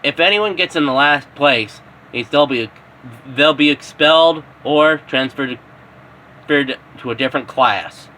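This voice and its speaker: A stern, impatient schoolteacher, played by a man reading a story. He instructs curtly and dismissively in a bright, fairly high voice, with light noise behind him. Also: unhurried, low-quality recording. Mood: stern, threatening. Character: stern, impatient male teacher.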